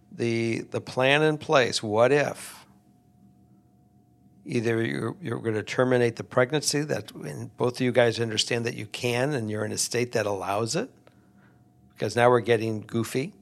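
Male podcast co-host, gravelly voice, halting and emphatic, casual advice chat. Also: steady tone. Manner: halting, restarts, emphatic swings in pitch.

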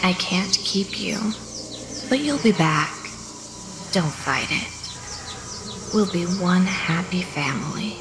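A woman playing an eerie, possessive ghostly girl in a horror audio drama, coaxing and softly menacing with a breathy, whispery voice. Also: on the low side, expressive, slow pace, pauses often, noisy background.

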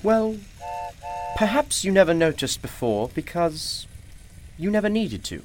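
A man's voice: sits high, very animated, unhurried, slight background noise. A man playing a genial, mysterious old-fashioned shopkeeper in a radio play, with formal, courtly and unflappable phrasing. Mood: mysterious, persuasive.

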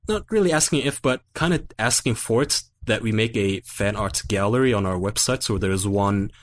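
A man's voice: on the low side, moderate pace. A man in his twenties co-hosting a podcast in casual chat, laid-back, swearing freely and laughing often. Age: 20s. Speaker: podcast co-host.

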